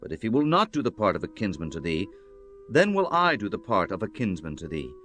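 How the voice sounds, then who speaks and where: A man's voice: on the low side, very animated, brisk, a bit bright, soft background music. A man playing an earnest, honorable biblical landowner in solemn, declamatory scripture drama. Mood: gentle, reassuring. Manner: solemn, declamatory, archaic formal speech. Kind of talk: dramatized scripture audiobook acting.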